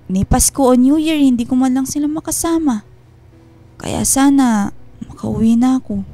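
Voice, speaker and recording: A woman's voice: high-pitched, moderate pace, mellow. Woman acting homesick, guarded wife, music behind. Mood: homesick, worried. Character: worried, homesick wife and mother working abroad, quietly suspicious. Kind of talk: Filipino radio drama acting.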